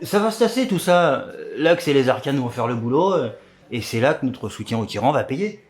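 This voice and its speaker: A man's voice: mid-range voice, big pitch swings, moderate pace, close to an everyday voice. Man acting a jumpy, fawning gangster, swooping intonation. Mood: hesitant, fawning. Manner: hesitant, stammering, repeats himself. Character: nervous, fawning, lecherous male gangster.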